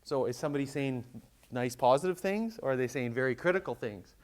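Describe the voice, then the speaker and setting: A man giving a conversational business presentation at a conference, explaining with quick everyday examples. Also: mid-range voice, animated. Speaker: conference speaker.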